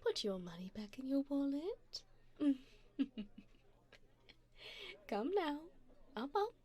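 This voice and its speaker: A woman's voice: sits high, sing-song, measured pace, a little mellow. A woman voicing an elegant, flirtatious vampire in an ASMR roleplay, speaking formally and teasingly to the listener with endearments. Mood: indulgent, seductive.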